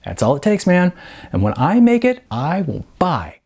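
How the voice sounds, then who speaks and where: A man voicing a cocky, excitable young slacker in an audiobook, talking in brash, insistent dude slang. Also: a little high, moderate pace, vocal fry, husky, voice pushed up, heavily acted. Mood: excited, cocksure. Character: excitable, cocky young male slacker dreamer, record store clerk.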